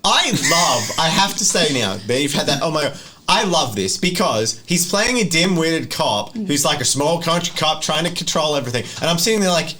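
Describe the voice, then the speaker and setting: A man's voice: on the high side, big pitch swings, normal speed, few pauses, bright, forceful tone. A man working as game master, improvising narration and chatting through a tabletop roleplaying game.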